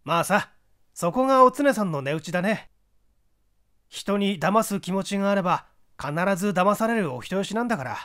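A man playing a shrewd, kindly detective in a mystery story, speaking in a measured, gently probing manner. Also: sits high, expressive. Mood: consoling, explaining. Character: Kudayū, composed, kindly, shrewd amateur detective.

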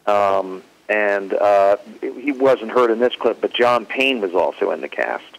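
A man's voice: fairly low pitch, unhurried, gravelly, poor audio. A man giving informal explanatory commentary as a presenter. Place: hotel meeting room.

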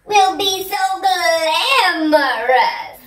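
A vain storybook hen, acted out by a woman, gushing and preening in a very high, slow, drawn-out voice. It is theatrical and boastful, recorded at a distance in an echoey room. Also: expressive, a bit bright, full character voice. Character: vain, excited female hens, preening and boastful.

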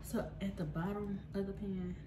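A young woman, casually chatting as she cooks and narrating a voiceover in a relaxed, rambling way that often laughs at herself. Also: medium pitch, normal speed, very noisy. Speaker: vlogger. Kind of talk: casual cook-along chat and voiceover. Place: home kitchen, indoors. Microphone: camera on the counter. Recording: voiceover with clear background music.

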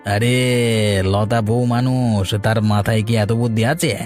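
Sly, scheming village uncle. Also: male voice, on the low side, steady tone, slow pace, a little mellow, faint music behind. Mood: sly, insinuating. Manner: sly, hesitant, coaxing with tag questions. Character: scheming, greedy village uncle who sows quarrels. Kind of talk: cartoon voice acting.

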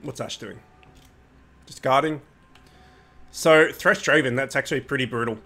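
A young man hosting a casual gaming video, sounding nasal from a cold, chatty and relaxed with lots of fillers. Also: medium pitch, normal speed. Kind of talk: casual gameplay commentary.

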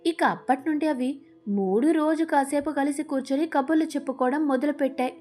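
A woman's voice: sits high, fairly quick, a little mellow, faint music behind. A woman narrating a scripted storybook tale as a storyteller.

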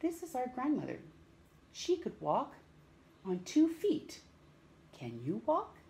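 A woman's voice: high-pitched, wildly swooping pitch, slow, creaky, dark tone. A middle-aged woman reading a picture book aloud to young children, theatrically drawing out words and putting questions to her listeners. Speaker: storytime host reading aloud. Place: small room at home, indoors.

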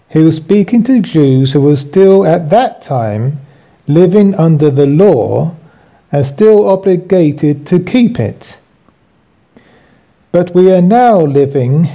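A man reading his own written teaching aloud as host, in a deliberate, explanatory way. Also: fairly high pitch, dark tone.

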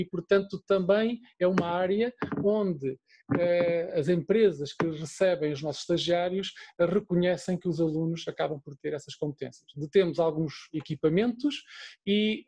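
A man's voice: a little high, warm tone. A middle-aged man with a European Portuguese accent presents a vocational course over slides, hesitant and full of fillers and restarts. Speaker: course lecturer.

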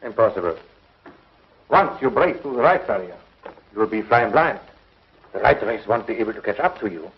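An actor playing a sober, precise scientist who explains things matter-of-factly in a 1950s television sci-fi serial. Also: male voice, fairly low pitch, lively intonation, normal speed, long pauses. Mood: explaining. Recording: dull vintage TV soundtrack.

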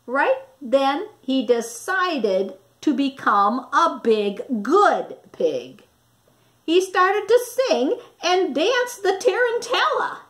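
A middle-aged woman narrating a children's storybook expressively, with dramatic, deliberate emphasis on words. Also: sits high, big pitch swings, a bit bright. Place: small indoor room with wall displays.

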